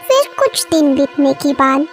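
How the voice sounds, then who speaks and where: A woman's voice: sits high, very animated, unhurried, over loud music. A woman narrating a scripted moral story as its storyteller.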